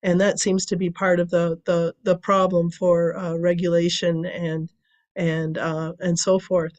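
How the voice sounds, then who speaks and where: Woman, raspy, hoarse from a cold, guest lecture on a call, halting with fillers. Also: fairly low pitch, flat delivery, a little mellow, highs cut off. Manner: loose, with many ums and restarts. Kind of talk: academic webinar lecture. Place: remote video call.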